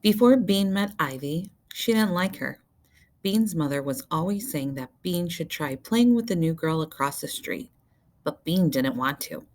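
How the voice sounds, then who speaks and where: A woman with a low voice narrates a children's book. She reads like a teacher to young listeners, expressively, with deliberate emphasis on key words. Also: lively intonation. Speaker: teacher reading aloud to children.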